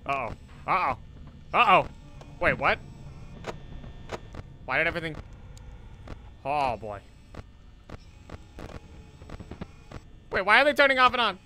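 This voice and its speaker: Guy doing an anxious polite cartoon teacher playing a horror game, really sharp bright voice, swoops up and down. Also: fairly high pitch, very animated, moderate pace, long pauses, soft background music, exaggerated voice, rough recording. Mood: panicky. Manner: nervous, exclamatory, pleading politely. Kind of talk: let's play commentary in character.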